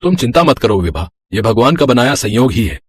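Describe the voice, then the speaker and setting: A man voicing a practical middle-aged father in a storybook tale, measured and reassuring, with a husky voice. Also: medium pitch, sing-song, dry recording. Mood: reassuring, explaining. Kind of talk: animated Hindi moral story dialogue.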